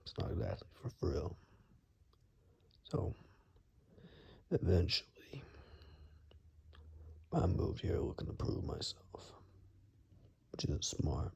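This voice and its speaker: A man playing a weary, rugged monster hunter in an ASMR roleplay, grave and halting, in a gravelly, breathy near-whisper. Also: deep voice, sing-song, a little mellow, full character voice. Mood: reflective, guarded.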